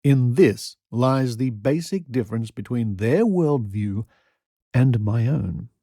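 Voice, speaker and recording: Mellow, measured man reading aloud. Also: mid-range voice, lively intonation, no echo, clean studio sound. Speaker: podcast host. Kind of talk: reading archive articles aloud for a podcast.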